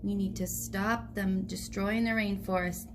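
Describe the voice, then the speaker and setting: A woman teacher reading a storybook aloud to young children in a deliberate, direct way. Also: mid-range voice, steady tone, background noise.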